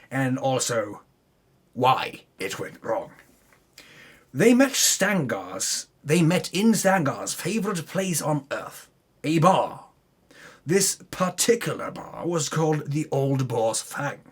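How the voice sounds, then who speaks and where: A man with a husky voice tells a fantasy campaign tale as a mock-epic dramatic reading. He takes his time, delivering it slowly and pompously at an ordinary male pitch. His grand narration is undercut by dry, self-correcting comic asides. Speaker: storyteller recapping a tabletop campaign.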